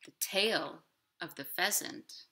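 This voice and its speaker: A woman hosting storytime, speaking in simple, clear phrases to young children. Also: fairly low pitch, slow pace, bright, forceful tone. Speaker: children's library storytime host. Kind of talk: storytime for young children, talking and reading aloud. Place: living room at home, indoors.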